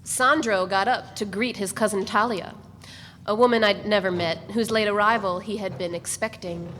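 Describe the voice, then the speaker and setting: A woman reading literary narration aloud at a steady, measured pace. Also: medium pitch, long reverb tail, a little hiss or hum.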